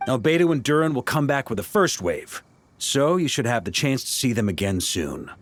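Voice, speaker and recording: An actor playing a seasoned, easygoing knight grandmaster in a video game, speaking in a laid-back, wry way. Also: male voice, medium pitch, sing-song, moderate pace, slight background noise, natural voice. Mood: matter-of-fact. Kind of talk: video game character dialogue.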